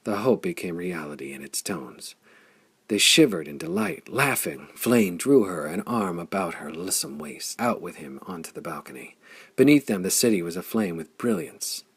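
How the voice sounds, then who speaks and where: Deep, husky male audiobook narrator. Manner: measured reading that grows excited with the action. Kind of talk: scripted narration of a pulp adventure story.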